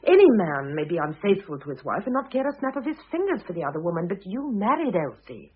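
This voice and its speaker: Middle-aged former wife, radio play: dry, wounded but self-possessed, lively intonation, thin muffled vintage sound. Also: female voice, on the low side, quick pace, husky. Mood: reproachful. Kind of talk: vintage radio drama acting. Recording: muffled, narrow-band old radio recording.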